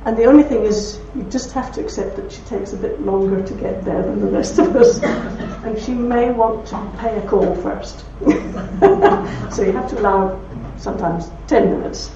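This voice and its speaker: A woman with a broad Belfast accent speaking to an audience, telling anecdotes with warmth and laughter. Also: mid-range voice, normal speed, a little hiss or hum. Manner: warm anecdotal storytelling with laughs. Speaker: speaker at a talk. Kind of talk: talk with audience questions. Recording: roomy hall from far off, dull.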